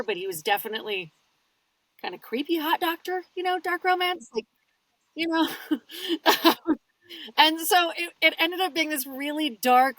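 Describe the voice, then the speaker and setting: A woman, a guest audiobook narrator, chatting casually in an interview from a small padded home booth and telling her stories with lively swings in her voice. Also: dry recording, distant mic, low-quality recording. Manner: animated storyteller with wide pitch swings.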